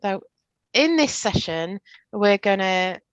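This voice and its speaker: A woman's voice: medium pitch, expressive, slow, clean tone, lo-fi sound. Chatty northern English woman on a headset. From north of England. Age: middle-aged. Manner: chatty, self-deprecating, joking. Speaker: trainer. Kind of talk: casual presentation on a video call.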